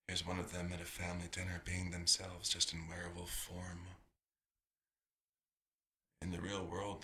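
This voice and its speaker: A man playing a nostalgic, proud vampire doctor in an ASMR roleplay, musing theatrically and slipping into breathy whispers. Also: deep voice, pushed and bright, exaggerated voice.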